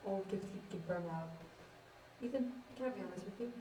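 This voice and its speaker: A woman's voice: medium pitch, fairly quick, lightly acted. A young woman in a student stage play, acting a frank, earnest teenage girl who bluntly reasons with others. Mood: subdued, earnest. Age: young adult. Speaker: student actor in a one-act play. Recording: echoey, distant mic, clear noise.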